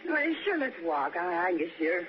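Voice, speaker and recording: An actress playing a lonely, chatty young waitress with an eager, colloquial manner in a 1960s radio suspense drama. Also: female voice, lowish voice, big pitch swings, moderate pace. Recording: noisy, muffled 1960s radio recording.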